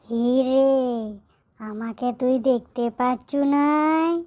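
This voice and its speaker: A woman's voice: high voice, slow pace, darkish tone, dry recording. A woman voicing a lonely ghost bride-seeker. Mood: coaxing. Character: lonely female ghost in a tree, eager to marry.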